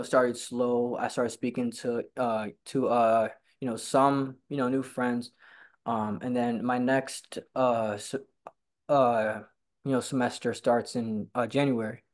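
A man's voice: mid-range voice, steady tone, slow. A young man who stutters, talking on a video call from home, with lots of fillers and repeated words. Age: young adult. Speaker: guest. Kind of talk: casual video-call interview. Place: home room by a staircase. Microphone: laptop webcam on a video call.

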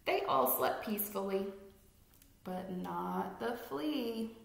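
Slow, deliberate woman reading a storybook. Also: medium pitch, lively intonation, vocal fry. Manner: deliberate, emphasizes rhymes, asks young listeners questions. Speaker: read-aloud storyteller. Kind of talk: expressive children's picture-book read-aloud. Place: indoor room at home with fireplace mantel. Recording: echoey room.

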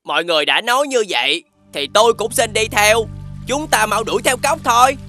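A woman's voice: fairly high pitch, lively intonation, a little fast. A woman voicing a friendly, agreeable animal companion with an earnest, encouraging manner in a children's cartoon. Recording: loud music behind the voice.

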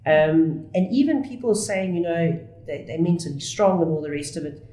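A woman's voice: lowish voice, normal speed, warm tone. A middle-aged South African woman speaking earnestly as an interview guest into a studio desk mic, often restarting her sentences. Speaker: guest, whistleblower. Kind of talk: candid conversational interview. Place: small studio room. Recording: roomy studio echo.

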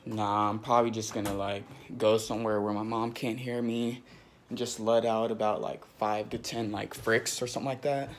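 A man's voice: fairly low pitch, level tone, moderate pace. A young man chatting casually in a slangy, mock-indignant way, as in a parody video call. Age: young adult. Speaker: comedy video creator. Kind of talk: parody FaceTime chat, casual. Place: indoors at home. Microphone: phone at arm's length. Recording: noisy phone recording with room ambience.

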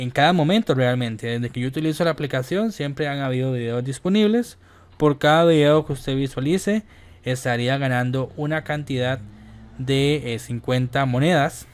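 Halting man presenting a casual tutorial. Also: medium pitch. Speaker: YouTube host. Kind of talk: casual app tutorial narration over screen recording.

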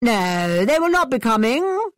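A brash, boastful young man from a storybook who talks over everyone, as a woman reader puts him on. His voice leaps about in pitch as he insists. The sound is dry and close, with no room around it. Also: animated, moderate pace, no echo, close to an everyday voice. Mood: pushy. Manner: loud, pushy. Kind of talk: storybook reading.

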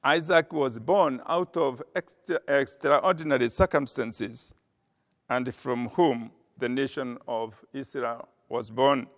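An older man preaching a sermon from a church pulpit, slow and measured, pausing with frequent "uh" as he cites scripture. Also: mid-range voice. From Kenya. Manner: slow, measured delivery with frequent uh pauses, reading scripture aloud. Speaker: pastor. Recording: long reverb of a church hall.

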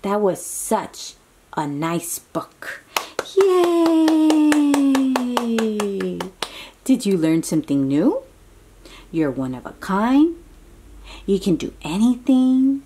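A woman's voice: mid-range voice, sing-song, extremely slow, long pauses, noisy background. A woman reading a picture book aloud to young children in an encouraging, exclaiming way, with chatty asides and questions to the listener.

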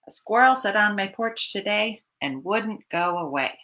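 A woman in her thirties hosting storytime, reading a picture book to young children in an expressive, teacherly way. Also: medium pitch, noisy background. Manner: expressive, deliberate teacherly delivery. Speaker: children's library storytime host. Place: small home room, indoors.

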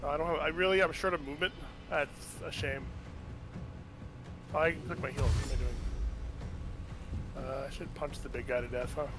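A man on voice chat, tossing off quick quips while playing a game with friends. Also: fairly high pitch, steady tone, music in the background. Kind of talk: casual gaming chat.

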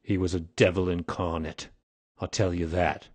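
A man's voice: low voice, little pitch movement, normal speed, a little mellow, slightly rough, no echo, pitched below the performer's own voice. A man voicing a weary, grave old man confessing his past in an audiobook story. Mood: bitter. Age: older. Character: weary, dying old man, confessed former highway robber.

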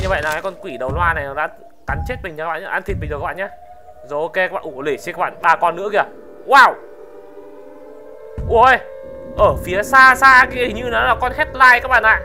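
Gaming video host, excitable, exclaiming, gameplay commentary, music clearly behind. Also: medium pitch.

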